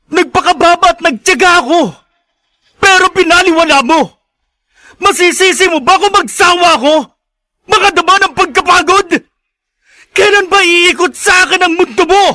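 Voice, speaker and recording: A man's voice: very high-pitched, normal speed, sharp tone, dry recording. A man playing a dutiful, respectful adult son in a radio drama, with a Filipino accent. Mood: anguished, reproachful. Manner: polite, deferential.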